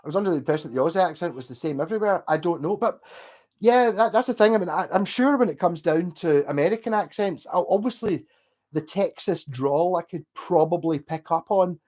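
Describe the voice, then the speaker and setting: A man's voice: sits high, animated, a little mellow. Middle-aged man, Glasgow Scottish accent, chatty, rambling, casual. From Scotland. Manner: rambling, chatty, swears and laughs, slows down when asked. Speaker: streamer reading chat. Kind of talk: casual chat.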